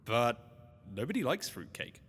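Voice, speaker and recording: A man's voice: mid-range voice, sing-song, moderate pace, fairly bright tone, husky. A man playing a loyal, slightly anxious young adventurer's sidekick in an audio drama, earnest and questioning. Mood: concerned. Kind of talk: scripted audio drama dialogue. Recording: long reverb, music behind.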